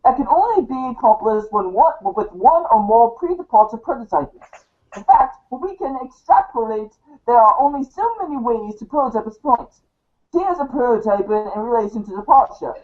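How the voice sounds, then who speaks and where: A woman playing a verbose, dryly self-important guide writer, delivering a formal, arch monologue for a storybook show, halting in places. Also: a little high, animated, lightly acted. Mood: arch, intrigued. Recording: dull, lo-fi recording.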